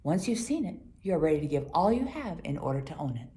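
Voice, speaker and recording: A kindly, wise teacher from a children's Bible storybook, read by a woman. The speech is gentle and instructive, in a mellow voice with animated rises and falls. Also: sits low, fast. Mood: earnest, welcoming.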